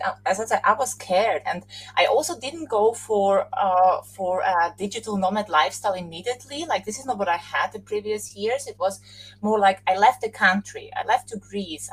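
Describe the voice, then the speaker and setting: Woman, from Austria, husky, middle pitch, podcast guest, chatty, laughs often. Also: normal speed, low-quality recording. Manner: thoughtful, laughs often, restarts sentences. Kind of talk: casual interview chat.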